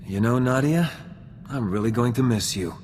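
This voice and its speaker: A man's voice: mid-range voice, normal speed, a little mellow, a little hiss or hum, natural voice. An actor playing an idealistic male politician in a video game, formal and oratorical, earnest and dignified. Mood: sincere. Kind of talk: video game dialogue acting. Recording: long hall reverb, music behind.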